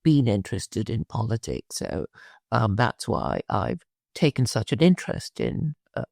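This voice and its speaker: A woman in her sixties from Malaysia answers interview questions hesitantly, stumbling over fillers and repeats. Her voice sits very low and gravelly yet swings widely in pitch, heard dry and close. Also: huge pitch swings, unhurried, darkish tone, no echo. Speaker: guest.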